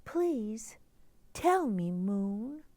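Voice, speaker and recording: A woman voicing an earnest, concerned young girl from a storybook, speaking softly in rhyme. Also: medium pitch, sing-song, drawn-out words, no room sound, voice pushed up.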